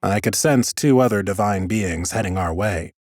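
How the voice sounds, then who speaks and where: A man's voice: fairly low pitch, moderate pace, a little mellow, no room sound. A man narrating scripted first-person fantasy audiobook prose with wry understatement, close on a studio mic. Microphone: close studio microphone.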